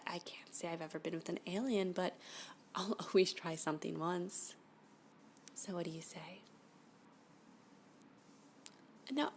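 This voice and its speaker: A woman playing a flirty, clingy alien abductee in an ASMR roleplay, gushing and halting, often dropping to a whisper. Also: mid-range voice, a little mellow, close to an everyday voice. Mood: flirty, teasing. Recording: background noise.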